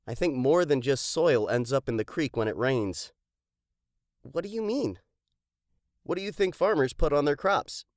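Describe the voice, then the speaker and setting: A woman voicing a curious, practical young explorer in an expressive children's storybook read-aloud. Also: low-pitched, normal speed, long pauses, no echo, clean studio sound, pitched below the performer's own voice. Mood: thoughtful. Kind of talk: dramatized children's storybook dialogue.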